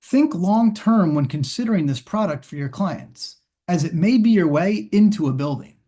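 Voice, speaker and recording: Male, higher register, corporate sales trainer, scripted and instructional, measured delivery. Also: warm tone. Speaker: partner team trainer presenting sales training.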